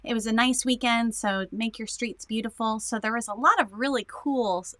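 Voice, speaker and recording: Bubbly high-voiced woman co-host. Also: moderate pace, bright, forceful tone, no room sound. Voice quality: high-pitched voice. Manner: bubbly, giggly, many fillers. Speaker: co-host of a local morning show. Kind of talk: casual morning show chat.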